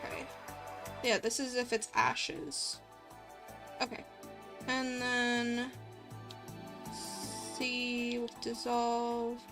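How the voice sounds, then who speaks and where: A woman with a high voice hosts a casual livestream over background music. She thinks aloud in an informal, rambling way, a bit self-conscious as she goes. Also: flat delivery, drawn-out words, creaky, long pauses. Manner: informal, rambling, self-conscious, giggly, mutters while working. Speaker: livestream host and visual novel writer. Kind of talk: casual livestream chat while coding and reading viewer comments. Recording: music playing behind the voice.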